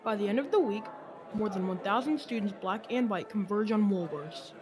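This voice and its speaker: Mid-pitched woman narrating a radio drama. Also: moderate pace, a crowd in the background.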